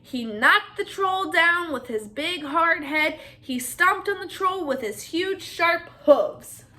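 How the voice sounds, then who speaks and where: Woman doing a kids story read aloud, pretty high voice, talks to the listeners. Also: animated, takes it slowly, bright, forceful tone. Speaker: children's story reader.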